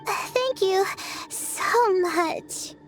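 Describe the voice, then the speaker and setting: A woman's voice: very high voice, extremely slow, faint music behind, full character voice. An actress playing a timid, anxious young female student in video game dialogue, hesitant and flustered. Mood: grateful.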